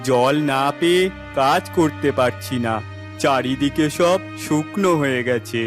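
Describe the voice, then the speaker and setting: A man's voice: mid-range voice, unhurried, close to an everyday voice. A man playing a poor, worried village farmer and husband in a cartoon moral story, troubled in manner. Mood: weary. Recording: voice over background music.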